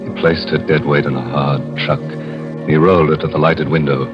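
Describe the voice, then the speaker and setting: An actor playing an ominous, macabre storyteller in a 1950s radio horror play, dramatic and deliberate. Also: male voice, rumbling low, fairly even intonation. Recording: muffled old radio, music underneath.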